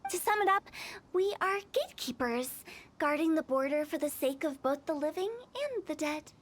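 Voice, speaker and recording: A woman's voice: high voice, lively intonation, normal speed. A woman voicing a confident, theatrical young funeral director in a video game. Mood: earnest, storytelling. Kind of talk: English video game voice acting. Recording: game music playing behind.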